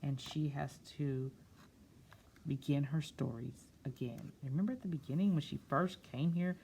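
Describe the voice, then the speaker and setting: A woman's voice: sits low, normal speed, vocal fry, warm tone, a little hiss or hum. A woman reading a picture book aloud to children, drawing out phrases expressively and breaking off into simple, casual explanations. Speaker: children's storyteller.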